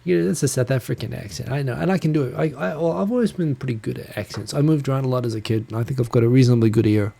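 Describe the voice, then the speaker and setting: Man, New Zealand accent, dark mellow tone, rambling self-deprecating chat, close handheld mic. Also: medium pitch, gravelly. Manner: rambling, self-deprecating, talks fast with restarts. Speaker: livestream host. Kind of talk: casual solo live chat. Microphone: handheld USB recorder mic close. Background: computer fan hum.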